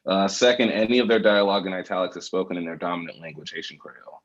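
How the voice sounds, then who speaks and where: Very deep-voiced man reading stage directions. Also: fast talker, highs cut off, slight background noise. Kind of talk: scripted narration at a table read.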